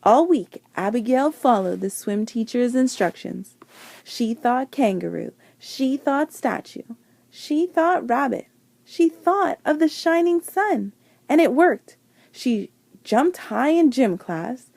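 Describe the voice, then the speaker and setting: A woman reading a children's picture book aloud with deliberate, dramatic phrasing and emphasised words. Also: on the high side, animated, gravelly, darkish tone, dry recording. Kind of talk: expressive children's storybook read-aloud.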